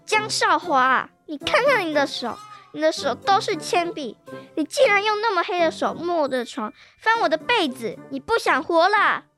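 A woman's voice: extremely high pitch, very animated, moderate pace, fairly bright tone, faint music behind, heavily acted. A schoolgirl acting a whiny, indignant young sister in a children's radio drama. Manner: whiny, protesting.

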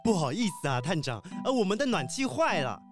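A man's voice: sits high, sing-song, brisk, over music, voice pushed up. A man voicing a cheerful, eager police squad captain in a children's audio drama. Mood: chirpy.

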